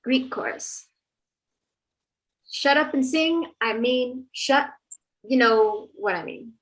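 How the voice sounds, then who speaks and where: A woman plays an eager, bossy chorus girl in a stage musical read-through. The voice sits fairly high and pushes the others along. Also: slow pace, low-quality recording. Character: bossy, eager female Greek chorus singer. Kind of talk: amateur musical table read.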